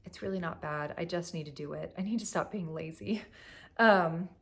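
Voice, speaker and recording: A young woman, slightly hoarse from a cough, chatting casually at home about her knitting, rambling with lots of fillers and little laughs. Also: fairly low pitch, normal speed, fairly bright tone. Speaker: knitting vlogger. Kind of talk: casual chat. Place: at home on a couch.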